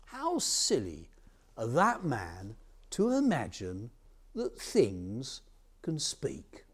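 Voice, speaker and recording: A man's voice: medium pitch, huge pitch swings, extremely slow, breathy edge, exaggerated voice. A man voicing an old, commanding and sceptical king in a told folk tale. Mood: musing scorn.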